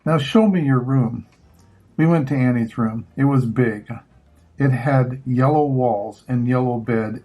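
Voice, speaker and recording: Older male storybook reader, husky, unhurried, measured phrasing for young children. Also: medium pitch, darkish tone, low-quality recording. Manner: measured, pausing between short phrases. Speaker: grandfather reading picture books aloud. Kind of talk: children's storybook read-aloud.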